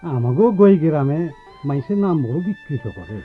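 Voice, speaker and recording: An actor playing a deferential, coaxing middle-aged village in-law in a radio drama, speaking with a rural Bangladeshi accent. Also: male voice, a little high, sing-song, normal speed. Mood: polite, coaxing. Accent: rural Bangladeshi Bengali. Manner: polite, coaxing, folksy. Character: deferential, persuasive village in-law seeking a favour. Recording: tinny narrow-band radio recording with music.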